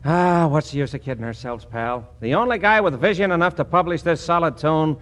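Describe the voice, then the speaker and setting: Brash, lively American city hustler. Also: male voice, on the high side, brisk. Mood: consoling. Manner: slangy, pushy, chummy. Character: brash, fast-talking city songwriter pal, a cheerful hustler. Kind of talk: 1940s American radio comedy acting. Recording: vintage 1940s radio broadcast.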